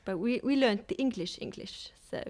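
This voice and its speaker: A woman's voice: on the high side, normal speed, a loud crowd. Woman singer chatting on radio. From Finland. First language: Swedish. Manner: lively, explains at length with small restarts. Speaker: guest singer. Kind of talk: radio interview conversation. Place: radio music studio.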